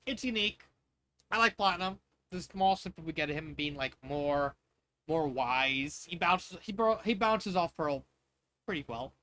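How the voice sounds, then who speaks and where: A man's voice: a little high, expressive, moderate pace, bright, forceful tone. A man narrating fan fiction in an informal, amateur way, stumbling over words with casual asides.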